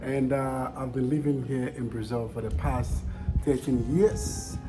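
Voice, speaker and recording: Slow-talking Ghanaian vlogger over clear music. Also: mid-range voice, fairly even intonation. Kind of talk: personal vlog narration to viewers.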